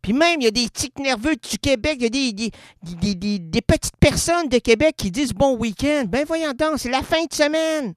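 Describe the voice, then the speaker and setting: Québécois male radio host, lively rambling comic patter, dry studio sound. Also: extremely high pitch, moderate pace, few pauses, no room sound. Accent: Québécois French. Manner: playful, rambling, swings between loud and quiet.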